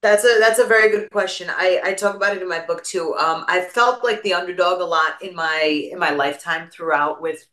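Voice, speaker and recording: A woman with an East Coast American accent and a low, bright, forceful voice, chatting as an interview guest. She talks casually, piling up examples and personal stories. The room around her is echoey. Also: brisk, hardly any pauses. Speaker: guest, attorney and real estate broker.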